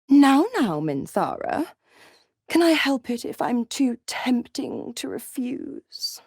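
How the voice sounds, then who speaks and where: Seductive female devil, slow drawl, animated pitch, condescending, cruelly teasing, theatrical game villain. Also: no room sound, clean studio sound. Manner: silky, condescending, theatrical, drawn-out taunting. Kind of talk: video game character voice acting.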